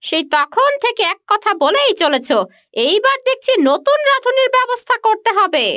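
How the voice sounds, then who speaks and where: A woman's voice: very high-pitched, sing-song, moderate pace, a bit bright, no echo, studio quality, exaggerated voice. A woman voicing a haughty, scornful rich village woman in a moral-story cartoon, scolding sharply and with contempt. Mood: impatient, bossy.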